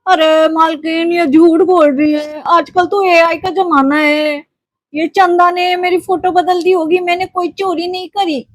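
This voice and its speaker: Scheming servant man for a cartoon, voiced by a woman; high, wheedling, falsely obedient. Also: level tone, a little slow, no echo, studio quality. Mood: wheedling denial. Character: sly, lying male cook and thief, servile.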